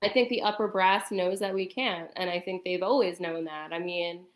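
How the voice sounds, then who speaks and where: Low-voiced woman in a roomy space. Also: fairly even intonation. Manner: hesitant, halting delivery. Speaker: guest on a talk stream. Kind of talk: casual explanatory chat. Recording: roomy, echoing sound.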